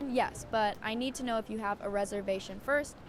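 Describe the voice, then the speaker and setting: A woman's voice: mid-range voice, brisk, natural voice. A woman playing a polite, patient hotel receptionist with formal service manners in a scripted radio drama. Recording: busy crowd ambience behind the voice.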